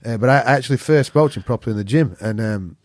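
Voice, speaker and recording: A man's voice: medium pitch, very fast, a little mellow, no room sound. A man from Yorkshire with a regional accent, chatting casually as an interview guest and telling anecdotes in a blunt, sweary, earthy way. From Yorkshire, England. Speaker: interview guest, veteran jiu-jitsu practitioner.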